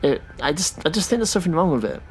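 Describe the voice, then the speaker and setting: A man's voice: a little high, sing-song, quick pace. A man playing an easygoing but opinionated taxi driver with a casual, slightly hesitant delivery in a science fiction audio drama. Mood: disapproving.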